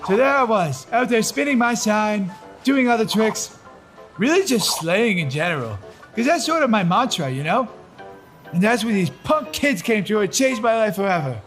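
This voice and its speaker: A very high, whiny cartoon crewmate, self-absorbed and theatrical. He rambles like a storyteller, with faint music behind him. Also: animated, moderate pace, fairly bright tone. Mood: smug, rambling. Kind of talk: cartoon voice acting.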